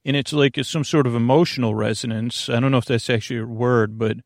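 A man hosting a bedtime podcast in a creaky voice, rambling in a lulling, halting, meandering way. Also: medium pitch, fairly even intonation, dry recording, studio quality. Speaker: podcast host.

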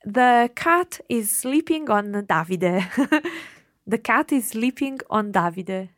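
A woman teaching a language lesson. She reads prompts slowly, repeats them, and corrects gently. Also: on the high side, lively intonation, dry recording, studio quality.

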